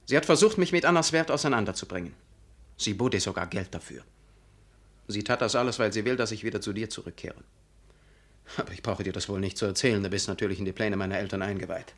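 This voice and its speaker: A man's voice: fairly low pitch, quick pace, a bit bright. A stern, self-righteous young clergyman. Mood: bitter. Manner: stern, pompous, unyielding. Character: Karl Arthur, self-righteous, cold, fanatical young clergyman. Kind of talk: German literary radio drama acting.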